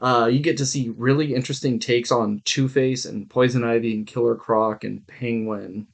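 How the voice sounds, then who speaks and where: A man's voice: medium pitch, steady tone, moderate pace, vocal fry. A man co-hosting a podcast, giving his reviews in casual, informal chat. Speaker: podcast co-host reviewing movies and shows.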